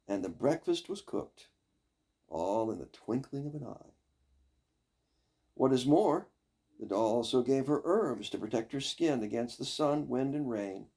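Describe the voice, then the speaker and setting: An older man reading a fairy tale aloud as a storyteller, with expressive, deliberate, dramatic phrasing. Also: mid-range voice, creaky.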